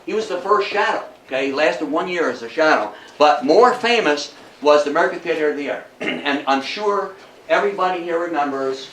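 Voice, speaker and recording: An older man with an American accent gives an informal talk in a folksy, emphatic storytelling manner. His voice sits a little high for a man. It is picked up from a distance in a roomy space with faint noise. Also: lively intonation, normal speed, fairly bright tone. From United States. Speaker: guest lecturer on old-time radio history. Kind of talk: informal public lecture with anecdotes. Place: indoor talk to a live audience at a historical society.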